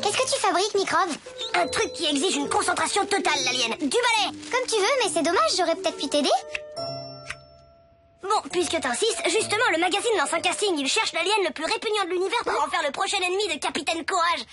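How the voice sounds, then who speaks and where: An actor voicing an excitable, bickering child in a dubbed cartoon, with an emphatic, childlike delivery. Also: extremely high pitch, fairly quick, music in the background. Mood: teasing. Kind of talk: animated cartoon dubbing.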